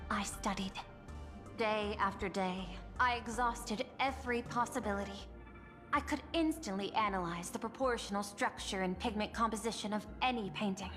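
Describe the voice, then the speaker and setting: Woman doing poised robot exec lady for a game, calm calculating and formal, big reverb, clear music behind. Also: mid-range voice, natural voice. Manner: measured, formal, articulate. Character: composed, calculating female android executive and art-seeking administrator. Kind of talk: video game voice acting.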